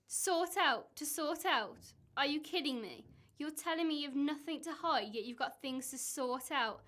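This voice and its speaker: A woman's voice: high voice, measured pace, fairly bright tone. A woman in an amateur radio play acting a suspicious, exasperated wife, accusing and sarcastic.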